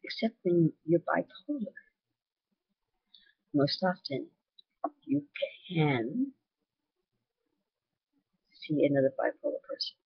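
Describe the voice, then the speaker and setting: A woman with a naturally loud voice talking candidly and earnestly to her webcam in a personal video. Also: deep voice, very animated, slow, vocal fry, pauses often, slightly rough, no echo, dull audio. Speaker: vlogger.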